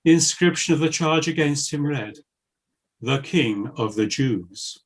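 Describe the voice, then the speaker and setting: A man narrating scripture in a solemn, measured and formal reading style. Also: fairly high pitch.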